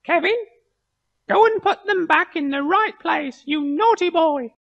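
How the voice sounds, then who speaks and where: A woman's voice: very high-pitched, unhurried, muffled recording. A woman voicing an angry, scolding mother in a cartoon story. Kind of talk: acted children's story dialogue.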